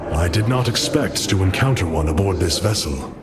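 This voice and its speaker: A noble alien leader, resolute and stern. His lowish voice is grave and commanding. A loud score plays beneath. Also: lively intonation, normal speed. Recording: loud orchestral music behind.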